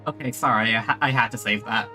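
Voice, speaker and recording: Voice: medium pitch, quick pace, sharp tone. A game streamer chatting casually on a livestream, hesitating and thinking aloud in a rambling way. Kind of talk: casual gameplay livestream chat. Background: faint game music.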